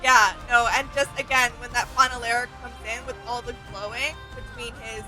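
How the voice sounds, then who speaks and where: A woman's voice: fairly high pitch, steady tone, moderate pace, over music, poor audio. A woman chatting casually and enthusiastically as co-host of a reaction video. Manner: enthusiastic, appreciative. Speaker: co-host of a reaction channel, artist.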